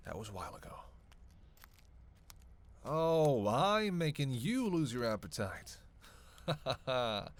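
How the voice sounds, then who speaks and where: A man playing a flirty, sardonic vampire in a roleplay audio drama, with casual, teasing, profanity-laced banter. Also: medium pitch. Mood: dry, practical. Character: flirty, sardonic male vampire on a road trip.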